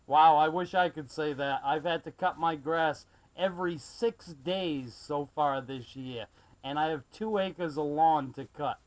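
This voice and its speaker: A man's voice: a little high, unhurried. A man from South Carolina chatting casually as a CB radio operator, in a friendly manner full of call numbers and radio jargon. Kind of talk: casual CB radio skip chat. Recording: muffled, band-limited CB radio recording.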